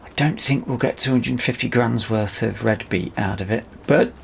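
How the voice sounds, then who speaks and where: British man, low gravelly voice, casual gaming commentary, thinking out loud, vehicle noise in background. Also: normal speed. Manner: musing aloud to himself. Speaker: gaming video host. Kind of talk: casual let's-play commentary.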